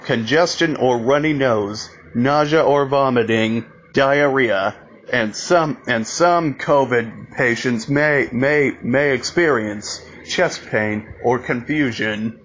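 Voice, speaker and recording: Slow, halting man reading an emergency alert. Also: medium pitch, a bit bright. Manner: halting, repeats and restarts phrases. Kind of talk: scripted emergency broadcast announcement. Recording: dull lo-fi audio, noticeable background noise.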